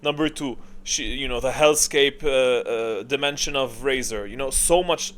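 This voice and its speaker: Male gaming creator, medium pitch, casual commentary style, rambling and opinionated with emphatic slang. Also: moderate pace, a bit bright.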